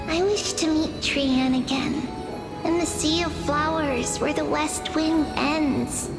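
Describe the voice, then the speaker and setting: Tiny girl game character, extremely high voice, gentle pace, playful, wistful, music, hall reverb. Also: takes it slowly. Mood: tender, wistful. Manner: playful, tender.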